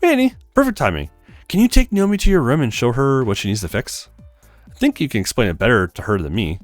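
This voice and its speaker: Guy reading as busy young game hero thinking out loud, lots of pitch movement, dry sound. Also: sing-song, quick pace, no room sound, faint music behind, close to an everyday voice. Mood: busy, polite. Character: busy, conscientious young man, a game protagonist.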